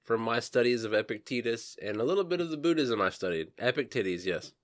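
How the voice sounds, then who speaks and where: A man with a mid-range voice trades crude, deadpan jokes as a podcast co-host. His delivery stays dry and unbothered, and the close recording has no echo. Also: mid-range voice, fast. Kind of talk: casual podcast chat.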